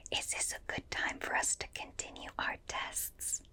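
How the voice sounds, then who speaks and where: A woman voicing a gentle, curious alien examiner in a breathy whisper, slow and soothing, in an ASMR roleplay. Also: natural voice. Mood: gentle, reassuring. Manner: slow, soothing, softly clinical, repeats phrases. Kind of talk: ASMR roleplay, personal attention.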